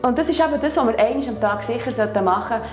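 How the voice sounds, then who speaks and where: A woman's voice: on the high side, racing, faint music behind. Encouraging Swiss woman fitness coach. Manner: patient, encouraging, ends phrases with "oder?". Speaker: fitness instructor. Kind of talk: guiding exercises step by step.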